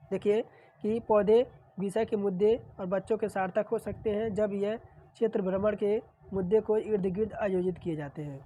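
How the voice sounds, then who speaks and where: A woman's voice: medium pitch, dark tone, highs cut off, a little hiss or hum. A woman teacher with a sore throat, steadily reading out exam questions and explaining the answers. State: sore throat, clearing throat often. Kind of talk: reading and solving practice questions.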